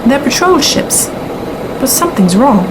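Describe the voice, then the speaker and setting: A woman's voice: high voice, very animated, a little slow, very noisy. An actress playing a hard-bitten, sceptical woman reporter and pilot in a science fiction audio drama. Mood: focused. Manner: matter-of-fact, sceptical.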